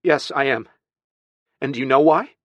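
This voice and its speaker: A man voicing a distraught, stern aunt in an audiobook, speaking with sharp, firm emotion. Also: medium pitch, moderate pace, no echo, highs cut off, voice pushed up, natural voice. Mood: shaken, upset. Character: distraught, stern young aunt scolding a little boy.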